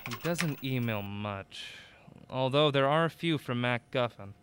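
A man's voice: medium pitch, lively intonation, measured pace, fairly bright tone, smooth. A man playing a cautious, wry amateur sleuth in a mystery audio drama, questioning and dry. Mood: dry, focused.

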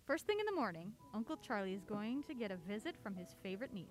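A woman acting an anxious, quick-witted young woman on the run in a radio drama. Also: mid-range voice, very animated, faint music behind, close to an everyday voice. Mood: cheerful, candid.

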